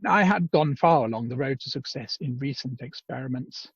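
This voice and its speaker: A man's voice: medium pitch, normal speed, husky, no echo. A man acting a self-assured, pedantic inventor in an amateur radio play, speaking pompously and precisely over a muffled video call. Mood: smug, lecturing.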